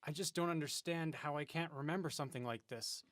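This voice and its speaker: A man's voice: a little high, a little fast, a bit bright, no echo, studio quality, lightly acted. An actor playing a confused, earnest radio DJ who has lost his memory and keeps asking questions. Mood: bewildered. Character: confused amnesiac male radio DJ. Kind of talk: comedic audio drama acting.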